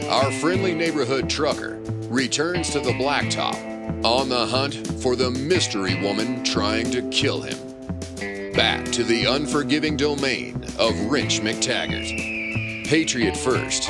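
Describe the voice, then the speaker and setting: Man as slow, melodramatic serial announcer over music. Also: medium pitch, animated, close to an everyday voice. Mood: grandiose. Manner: grandiose, suspenseful. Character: melodramatic male serial announcer narrating hero's adventures. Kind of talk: pulp serial narration.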